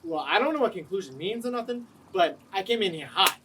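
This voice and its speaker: A man playing a loud, dim, hot-headed anger-management patient in a comedy sketch, coarse and defensive. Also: high voice, animated, quick pace. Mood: boastful. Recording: distant mic, faint noise.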